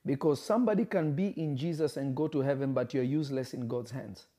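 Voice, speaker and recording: Emphatic preacher with a mellow voice. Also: male voice, mid-range voice. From Kenya. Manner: emphatic, repeats phrases, slows for stress. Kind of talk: livestream sermon reading viewer comments.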